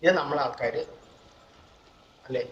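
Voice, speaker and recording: A man's voice: medium pitch, steady tone, rapid-fire, a bit bright. A man with a Malayali accent giving casual gameplay commentary as a streamer. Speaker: gaming streamer. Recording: echoey, dull microphone with noise.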